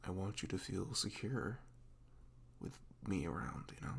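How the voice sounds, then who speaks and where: A man playing a sweet, goofy, self-conscious young boyfriend in an intimate ASMR roleplay, speaking in a halting, casual, reassuring way. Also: sits low, animated, gravelly, husky, full character voice. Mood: earnest, reassuring.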